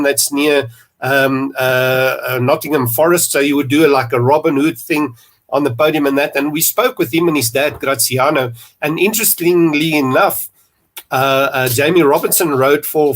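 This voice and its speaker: A man's voice: medium pitch, fairly even intonation, moderate pace. A man with a South African accent hosting a podcast interview, rambling and restarting with plenty of fillers. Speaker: podcast host. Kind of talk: conversational interview.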